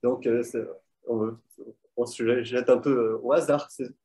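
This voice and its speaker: A man from Belgium, a quiz winner, answering interview questions in French with many fillers and pauses. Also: mid-range voice, fairly even intonation, mellow. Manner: hesitant, many fillers, thoughtful. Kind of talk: casual interview answers over an online call.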